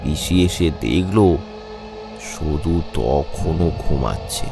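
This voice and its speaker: A man narrating a suspenseful animated horror story in a dramatic storytelling way. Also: rumbling low, slow, creaky. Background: background music.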